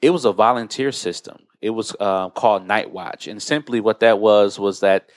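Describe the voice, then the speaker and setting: An American man with a low voice talks as a podcast host. He explains and recounts in a conversational style, and the recording is dry and close. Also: normal speed, no echo. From United States.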